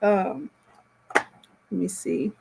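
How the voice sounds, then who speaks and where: A woman hosting a casual live stream, giving commentary in a rambling, halting way as she thinks aloud. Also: medium pitch, gravelly, darkish tone, a little hiss or hum.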